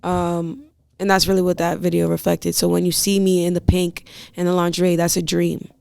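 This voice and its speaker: Woman, raspy, gravelly, casual slang, dry close sound. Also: on the low side, level tone, normal speed, darkish tone, no room sound. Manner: slangy, rambling, laughs often. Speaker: rapper guest. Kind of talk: casual interview chat.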